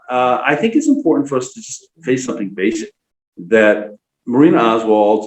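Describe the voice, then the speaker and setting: Low-voiced man hosting, roomy echo. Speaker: host. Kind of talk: casual commentary to listeners. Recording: roomy, echoing sound.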